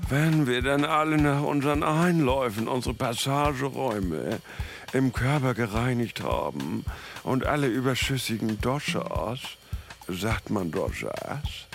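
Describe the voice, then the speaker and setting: An actor playing an earnest, gushing wellness devotee in a comedy mockumentary, searching for words in a drawn-out way. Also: male voice, medium pitch, expressive, vocal fry, soft background music. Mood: earnest, blissful.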